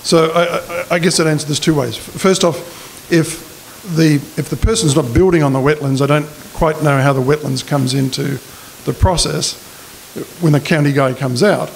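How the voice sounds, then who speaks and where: A man's voice: on the high side, fairly even intonation, moderate pace, vocal fry. A middle-aged man with an Australian accent, a political candidate giving a speech and answering questions at a public forum, speaking plainly into a stand mic in a community hall. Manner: plain-spoken, hesitant restarts when answering questions. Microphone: stand mic at a lectern. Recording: faint hiss in a hall.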